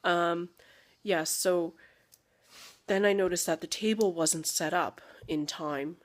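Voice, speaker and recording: A woman's voice: lowish voice, slow. A young woman, not a native English speaker, chatting casually to camera as a parenting vlogger, rambling with fillers and asides.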